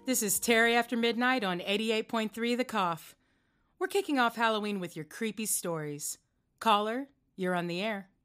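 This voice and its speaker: A woman's voice: fairly high pitch, normal speed, a bit bright, clean tone, natural voice. A woman playing an earnest new late-night radio host. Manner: earnest, slightly prim. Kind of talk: audio drama acting.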